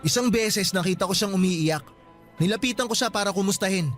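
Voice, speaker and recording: Man acting a guarded young male student, curt, quick talk, fairly high voice, radio drama, soft music under. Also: lightly acted. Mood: firm. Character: cold young male student, resentful and firm.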